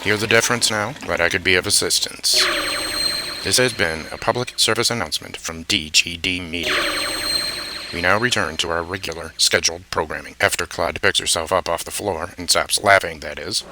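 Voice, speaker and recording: A man's voice: deep voice, a little fast, rough recording. A man delivering scripted radio promos as an announcer and host, recorded with sound behind his voice. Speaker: voice-over artist and internet radio host. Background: music beds.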